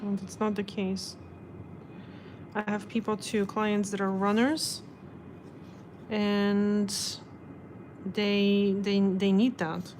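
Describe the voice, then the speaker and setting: A woman's voice: medium pitch, slow pace, long pauses, noisy background. A woman nail technician chatting casually on a live stream and explaining her work as she goes. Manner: relaxed, explains as she works. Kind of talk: casual live-stream chat while working.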